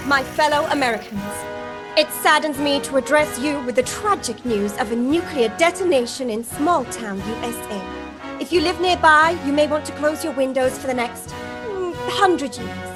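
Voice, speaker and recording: A woman's voice: high-pitched, normal speed, music in the background, natural voice. A woman playing a pompous American president making a solemn address in an amateur radio parody.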